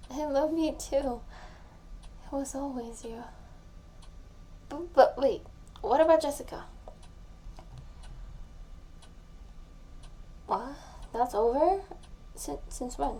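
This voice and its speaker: A woman acting a tipsy, lovesick young woman confessing to her best friend in an intimate audio roleplay, soft and breathy, halting and often near a whisper. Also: high voice. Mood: nervous, hopeful. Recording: faint background noise.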